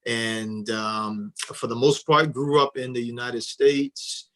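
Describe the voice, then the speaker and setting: A man in his thirties, from Liberia, speaks as a guest on a radio interview. He is earnest and measured, slipping in light humor. His voice sits at a middling pitch. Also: little pitch movement, fairly bright tone.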